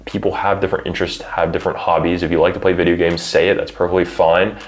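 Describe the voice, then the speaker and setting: A man's voice: sits low, little pitch movement, fast talker. A man giving conversational recruiting advice as a coach, in an informal way peppered with filler phrases like "you know". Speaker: college football recruiting coach and adviser. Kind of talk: conversational advice, explaining to parents and athletes.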